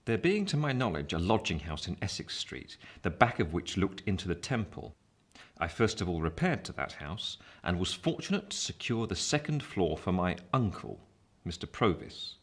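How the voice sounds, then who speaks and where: A grave gentleman telling his story in a radio play. The voice is deep, and the first-person narration is measured and literary. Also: sing-song, slightly rough, exaggerated voice. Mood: grave, reflective.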